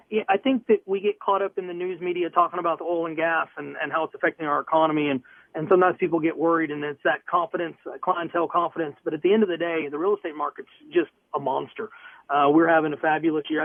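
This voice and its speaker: A man's voice: on the high side, steady tone, fast, no echo. Earnest man on a tinny phone line. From Southwest Missouri. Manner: upbeat, earnest, plainspoken. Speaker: real estate broker, guest. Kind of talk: radio interview answers. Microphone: telephone.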